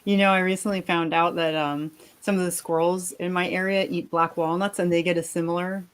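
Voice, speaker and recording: Giggly woman co-host with a lowish voice. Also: flat delivery, a little fast, clear, resonant tone. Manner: giggly, encouraging. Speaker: co-host of a live book-reading show. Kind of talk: casual group chat.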